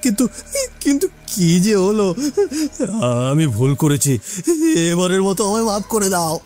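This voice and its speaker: A man's voice: sits high, animated, normal speed, a little mellow, slight background noise, pitched above the performer's own voice. A man voicing a scheming, cowardly village headman in a cartoon, pleading and stammering for mercy. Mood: desperate pleading. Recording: faint music behind.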